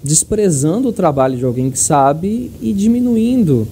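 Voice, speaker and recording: A man's voice: on the high side, lively intonation, normal speed, warm tone. A man with a Brazilian accent co-hosting a podcast, chatting casually and giving emphatic opinions. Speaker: podcast co-host. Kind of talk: casual chat. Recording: clear background noise, room ambience.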